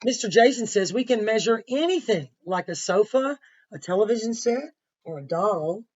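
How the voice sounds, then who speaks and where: A woman reading aloud as an eager schoolgirl who chatters to her dogs in a storybook, with an expressive delivery. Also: medium pitch, moderate pace, muffled recording, pitched above the performer's own voice, natural voice. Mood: eager, bossy. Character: eager schoolgirl measuring her dog for homework.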